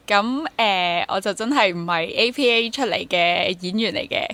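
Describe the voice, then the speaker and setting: A woman's voice: medium pitch, moderate pace, bright, forceful tone, dry recording. A woman in her twenties with a Hong Kong Cantonese accent, talking candidly and self-deprecatingly as a guest in a casual interview. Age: 20s. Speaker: guest, stage actor.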